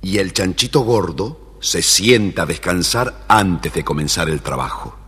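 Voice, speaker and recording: A man narrating a scripted children's tale with an expressive, deliberate storyteller delivery. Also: deep voice, breathy edge. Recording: reverberant, faintly noisy old story record.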